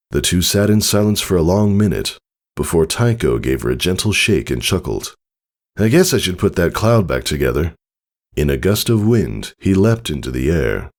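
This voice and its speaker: Male audiobook narrator, extremely low register with heavy fry, animated intonation, dramatic measured delivery. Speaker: fan fiction narrator. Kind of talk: dramatic scripted story narration.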